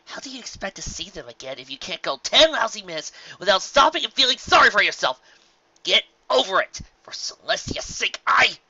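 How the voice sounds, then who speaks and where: A man plays a cocky young female pony from a storybook, brash and boastful with doubts underneath. The voice is very sharp and forceful, pitched up from his usual talk, on a slightly dull recording. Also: big pitch swings, a little fast, gravelly, no room sound, exaggerated voice. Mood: scolding. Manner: cocky, emphatic self-pep-talk.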